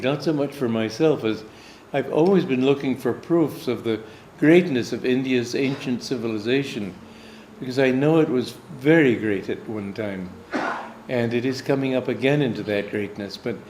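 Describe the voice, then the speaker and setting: A man's voice: mid-range voice, a little mellow, a little hiss or hum. An American man, a swami and spiritual teacher, recounting a personal anecdote in a meandering storytelling way. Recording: echoey room, faint ambience.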